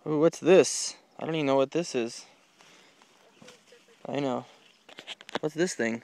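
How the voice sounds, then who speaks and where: A man's voice: medium pitch, a little slow, creaky, a little hiss or hum. A man films his children outdoors in a home garden, asking them plant names and encouraging their answers. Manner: curious, gently quizzing, encouraging. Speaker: parent filming a garden tour. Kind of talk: casual quizzing chat with children. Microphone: handheld camera while walking.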